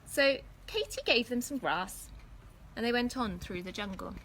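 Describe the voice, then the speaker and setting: A woman reading a storybook aloud for young children in an expressive, deliberate teacherly way. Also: a little high, a little hiss or hum. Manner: animated, deliberate, pausing to ask questions.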